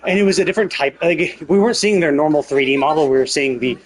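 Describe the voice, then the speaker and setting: A man streaming a game, casually rambling and thinking out loud as he commentates. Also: fairly high pitch, fast talker. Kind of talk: casual live stream commentary, speculating aloud.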